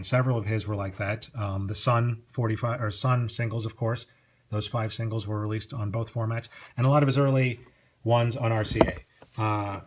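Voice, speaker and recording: A middle-aged man gives a casual, unscripted show-and-tell as a collector, chatty and prone to digressions. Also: mid-range voice. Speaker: record collector presenting collection. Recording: clear background noise, clicks.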